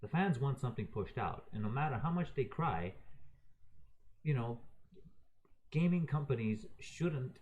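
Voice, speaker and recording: A man's voice: mid-range voice, animated, a little slow, pauses often, a little mellow, muffled recording, a little hiss or hum. A man raised in Croatia giving an unscripted, heated and profane opinion rant, talking to his viewers in an exasperated way. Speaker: video ranter.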